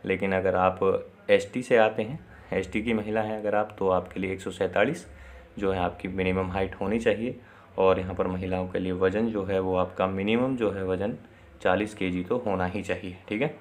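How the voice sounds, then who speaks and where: Low, monotone man explaining step by step. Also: moderate pace, a little hiss or hum. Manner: instructional, repeatedly checking in with short confirmations. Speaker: presenter of an exam and job information video. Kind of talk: informal explainer, addressing viewers directly.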